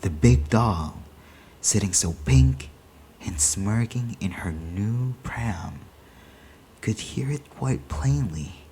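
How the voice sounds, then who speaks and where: Slow, low-voiced man reading a story. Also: lively intonation, mellow, slight background noise. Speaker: story reader. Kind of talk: scripted short story read aloud.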